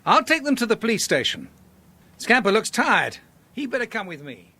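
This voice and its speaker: A brisk, kindly middle-aged dad in a children's radio-style play. His voice is bright and very lively, with a hearty, reassuring manner. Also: high voice, husky, no echo, heavily acted. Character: brisk, kindly father of schoolboy detective. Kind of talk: children's audio drama acting.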